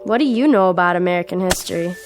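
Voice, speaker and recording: A woman's voice: on the low side, fast talker, music in the background, natural voice. An actress playing a wisecracking, sceptical young woman with a blunt, sardonic manner.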